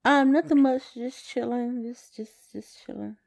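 A woman's voice: a little high, a little slow, creaky, darkish tone. A woman co-hosting a podcast in casual chat, giving brief, laid-back replies. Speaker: podcast co-host.

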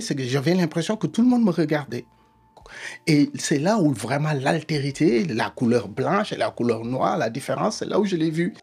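Middle-aged professor, male, husky, tells his story with pauses and fillers. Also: fairly high pitch, darkish tone. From Senegal. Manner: reflective, hesitant, with many fillers. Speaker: history professor, interviewee. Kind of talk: personal life story in an interview.

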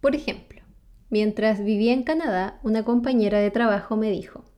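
Mellow-voiced Chilean woman, friendly explainer. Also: fairly high pitch, a little fast. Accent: Chilean Spanish. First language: Spanish. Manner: friendly, asks the viewer questions, laughs often. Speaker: vlogger. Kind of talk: explanatory talk to camera.

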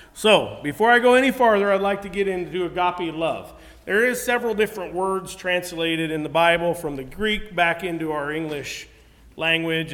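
A high-voiced man gives a sermon in an earnest, conversational way, often stopping to restart his sentences. His voice rings out with a long reverb behind it. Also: fairly bright tone. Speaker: preacher.

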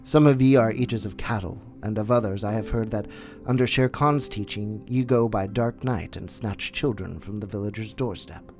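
A man's voice: fairly low pitch, moderate pace, a little mellow, natural voice. A man voicing an old, weary male wolf who leads the pack, speaking with solemn dignity over faint music. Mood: resigned.

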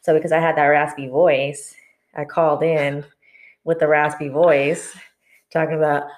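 A woman co-hosting a podcast, telling relaxed stories with many fillers. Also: sits low, normal speed. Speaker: podcast co-host. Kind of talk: casual chat.

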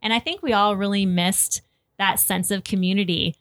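A woman's voice: medium pitch, fairly even intonation, moderate pace, no echo, clean studio sound. A woman hosting a podcast, chatting casually and effusively in a conversational interview style. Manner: enthusiastic, effusive. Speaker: podcast host.

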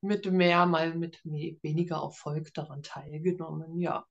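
A woman's voice: low-pitched, steady tone, measured pace, lo-fi sound. Chatty woman in her thirties. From Germany. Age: 30s. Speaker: author, interview guest. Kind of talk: casual interview chat.

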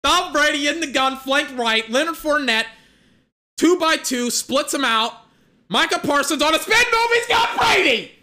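A young man giving casual, excitable play-by-play and analysis of a football game he is watching, with lots of filler words. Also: extremely high pitch, moderate pace. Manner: excitable, peppered with filler words and mock voices. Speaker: watch-along football commentator.

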